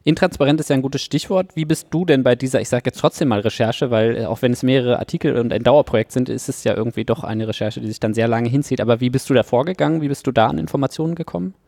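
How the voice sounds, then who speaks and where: A man asks his guest questions at a brisk clip, his voice gravelly with vocal fry. The sound is close and dry, with no room around it. He sets up each question carefully, pausing over his words. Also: mid-range voice, darkish tone, no room sound. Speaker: interview host. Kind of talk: interview questions.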